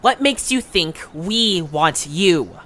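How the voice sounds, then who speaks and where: Casting: man as cocky, sarcastic young adventurer for audio cartoon, bright forceful tone, lively swings in pitch, brash. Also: slow pace, heavily acted. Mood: scornful. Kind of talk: animated audio drama acting.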